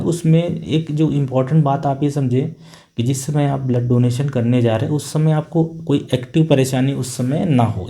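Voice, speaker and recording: A middle-aged male doctor speaks with a mellow, warm voice at an ordinary pitch. He explains things calmly and patiently, in a plain, unhurried manner with the odd thinking pause. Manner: calm, patient, plain-spoken with filler pauses. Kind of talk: explanatory health talk.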